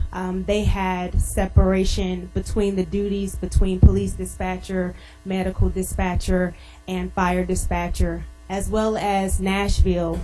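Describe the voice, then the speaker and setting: A woman representing a city administration, giving formal, measured, bureaucratic explanations at a public meeting. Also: medium pitch, mellow, poor audio.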